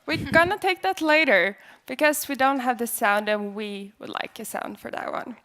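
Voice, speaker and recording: A woman's voice: a little high, moderate pace, a bit bright. A young woman hosting on an auditorium stage, interviewing student contestants with friendly questions. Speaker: event host interviewing contestants. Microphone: handheld mic.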